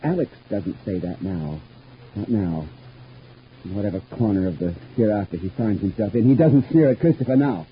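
A man's voice: on the low side, expressive, normal speed, lightly acted. An actor playing a disturbed, bitter, suspicious man in an old radio soap, pressing with insistent questions. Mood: bitter, sardonic. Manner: agitated, probing with insistent repeated questions. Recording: dull, noisy old radio recording.

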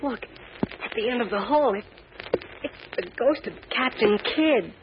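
A man's voice: sits high, expressive, a little fast. An actor playing a nervous, cautious teenage boy sidekick in a 1940s style radio serial. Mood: frightened. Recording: dull, very noisy old radio recording.

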